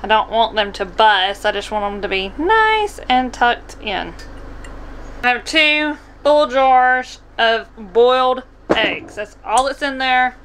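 Bright, high-voiced young American woman vlogging. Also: animated, slow pace, slight background noise. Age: 20s. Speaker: vlog host. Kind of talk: casual cooking demonstration to camera. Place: small camper kitchen, indoors.

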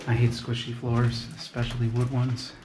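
A man's voice: mid-range voice, completely flat delivery, moderate pace. A young man narrating casually as he walks through an abandoned building, pointing things out as he goes. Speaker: urban explorer host. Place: large abandoned building, indoors, echoey. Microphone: body-worn action camera. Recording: echoey empty rooms, noisy background.

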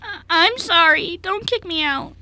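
A woman's voice: extremely high pitch, unhurried, pushed and bright, pitched above the performer's own voice, exaggerated voice. A woman voicing a nervous little boy in a radio play, hesitant and pleading as he stammers. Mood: anxious, pleading. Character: nervous, anxious little boy piano pupil. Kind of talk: amateur radio play acting.

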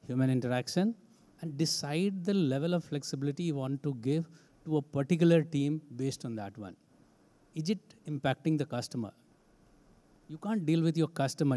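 A man's voice: on the high side, warm tone. A man with an Indian English accent speaking emphatically on a conference panel as an HR head, into a handheld mic on a large stage. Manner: emphatic, repetitive phrasing. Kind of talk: panel discussion answer.

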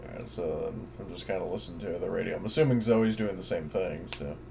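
A man's voice: sits low, quick pace, gravelly, noisy background. A man chatting casually as a player during a tabletop game. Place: indoors, online voice call.

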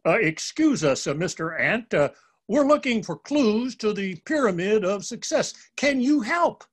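A man's voice: high voice, sing-song, unhurried, slightly rough, voice pushed up, heavily acted. A man voicing a small, eager young animal schoolchild with an earnest, questioning manner in a storybook. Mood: hesitant, polite.

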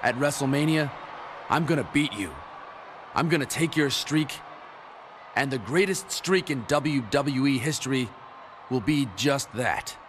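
A man playing a cocky, defiant young wrestler in a video game, with an emphatic, deliberate delivery. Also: mid-range voice, animated, noisy background, close to an everyday voice. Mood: confident defiance. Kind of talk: video game cutscene promo acting.

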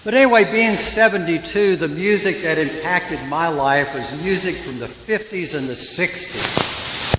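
A man's voice: fairly high pitch, a little slow. A man in his seventies, a priest giving a sermon and telling stories, often turning to the congregation with questions. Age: 70s. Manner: gentle storytelling preacher who asks the congregation questions. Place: church. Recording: echoey church hall with hiss.

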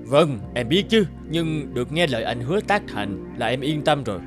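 A man's voice: medium pitch, lively intonation, normal speed, music in the background, natural voice. A man voicing a scheming, pleading male god of the underworld in a cartoon fairy tale. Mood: wheedling.

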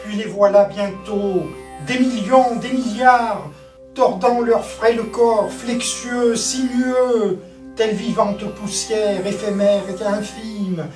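A woman reciting an epic poem as a solemn declamation, with grave, measured and emphatic phrasing. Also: mid-range voice, fairly even intonation. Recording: roomy echo, distant voice, music. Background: prominent musical backing.

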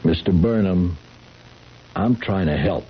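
An actor playing a skeptical, methodical sheriff in 1970s radio drama, pointed and deliberate. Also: male voice, low-pitched, slightly rough, exaggerated voice. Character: skeptical, methodical male sheriff questioning a suspect. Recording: dull, noisy 1970s radio recording.

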